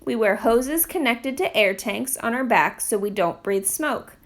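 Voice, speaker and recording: A woman's voice: on the high side, expressive, unhurried. A woman voicing a practical female fire lieutenant in a children's storybook, explaining things instructively.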